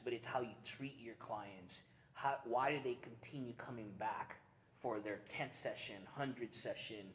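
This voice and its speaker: Energetic, joking male fitness trainer. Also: medium pitch, a little hiss or hum. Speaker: personal trainer, gym co-owner. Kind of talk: casual interview.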